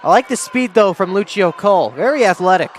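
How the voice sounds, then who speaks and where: A man with a high-pitched voice offers color commentary on a live basketball game. There is faint gym noise and the sound of bouncing balls behind him. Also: expressive, fairly quick.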